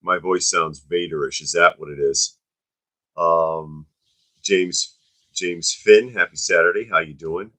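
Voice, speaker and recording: A hoarse man co-hosting a casual livestream, making wry joking asides, recorded on a camera-mounted mic in a home toy museum room. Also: low-pitched, fairly even intonation, measured pace, bright, forceful tone.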